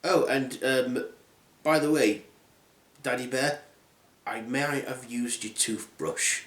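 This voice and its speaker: A man's voice: high-pitched, very animated, normal speed, voice pushed up. Casting: nosy, blunt fairy-tale girl, picky and brazen played by a man, roomy recording. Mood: sheepish.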